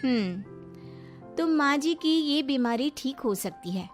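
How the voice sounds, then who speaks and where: A woman's voice: high-pitched, expressive, slow. A woman voicing a caring, reasonable young daughter-in-law in a cartoon moral story, polite and explanatory. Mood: concerned. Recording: music behind the voice.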